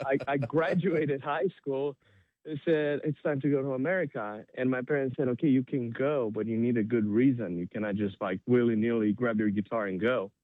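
A man's voice: mid-range voice, fairly even intonation, normal speed. A man from Romania, a native Romanian speaker, tells his story informally and enthusiastically as an interview guest. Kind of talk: animated personal storytelling in an interview. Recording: thin phone-like remote call sound.

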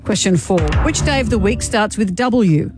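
A woman's voice: mid-range voice, moderate pace, music in the background. Australian woman presenting a radio quiz. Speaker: radio host, quizmaster. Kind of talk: bantering quiz show presenting.

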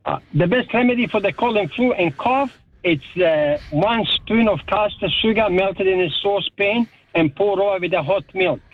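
A man's voice: sits high, normal speed. An older man with a Bosnian accent, calling a radio show for a friendly casual chat over a telephone. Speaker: radio phone-in caller. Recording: tinny, narrow telephone line.

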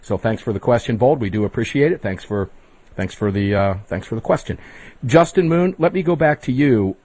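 A man hosting a talk radio show, speaking in an energetic, emphatic way to his guests and listeners. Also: medium pitch, a little fast.

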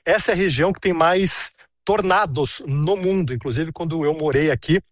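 A man in his thirties reports from a city street in a chatty, storytelling way, full of asides. His voice sits a little high. The sound is dry and close. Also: moderate pace, no room sound. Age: 30s. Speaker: reporter on location. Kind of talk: casual on-location report.

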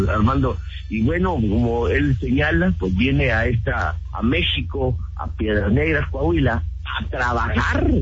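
A man reporting live and interviewing in a conversational way for radio news. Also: mid-range voice. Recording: dull lo-fi radio sound, faint music behind.

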